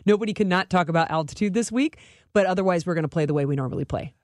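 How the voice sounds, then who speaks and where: Casual, low-voiced American woman co-hosting sports radio. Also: fast talker, a little mellow, no echo, clean studio sound. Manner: informal, loosely phrased, repeats words for emphasis. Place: dry radio studio.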